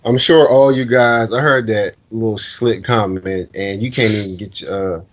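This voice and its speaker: A man co-hosting a sports podcast, teasing his friends in casual banter. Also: normal speed. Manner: teasing, trash-talking, lively pitch swings. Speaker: podcast co-host.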